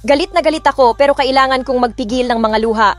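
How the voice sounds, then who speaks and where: Filipino woman narrating over soft music. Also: high voice, quick pace. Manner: emotional, restrained storytelling in Tagalog and English. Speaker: narrator and voice of the heroine. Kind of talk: first-person audiobook narration with acted dialogue. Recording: background music under the voice.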